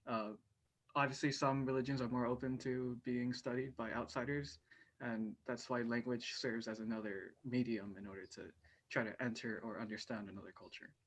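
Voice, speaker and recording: A young male student answering a question in an online class, measured and thoughtful with a few fillers. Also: mid-range voice. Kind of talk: class discussion.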